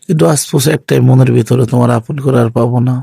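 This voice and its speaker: A man's voice: mid-range voice, steady tone, very fast, creaky, warm tone, slightly rough, no echo, clean studio sound. A man playing a regretful young lover, pleading in a subdued way in colloquial Bangladeshi Bengali on an emotional phone-call drama.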